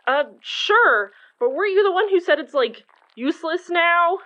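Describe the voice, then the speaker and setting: A sarcastic young sidekick in a horror audio drama, with a high, bright voice. She talks casually and expressively, on a slightly dulled recording. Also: expressive, normal speed, dull audio, heavily acted. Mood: doubtful, trusting. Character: sassy, sarcastic young woman, loyal sidekick. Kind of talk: audio fiction drama acting.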